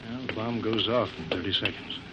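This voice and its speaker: An actor playing a steady, somber commander in a 1950s radio drama. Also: male voice, on the low side, brisk, close to an everyday voice. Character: steady, somber male base commander, wearily reassuring. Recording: muffled, hissy old radio recording.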